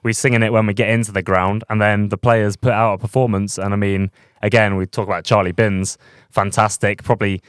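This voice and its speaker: A man's voice: fairly low pitch, little pitch movement, fairly quick, clear, resonant tone, no echo, clean studio sound. A young man hosting a casual football fan podcast, chatty and full of fillers and restarts. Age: young adult. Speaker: podcast host.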